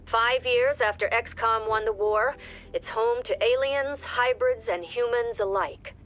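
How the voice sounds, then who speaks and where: A calm agency director. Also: female voice, on the high side, slight background noise. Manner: measured, businesslike briefing. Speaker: director briefing a squad.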